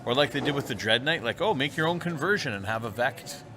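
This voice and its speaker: A man's voice: medium pitch, lively intonation, quick pace, over music. A man co-hosting a gaming show, chatting casually with blunt, joking remarks. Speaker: podcast co-host.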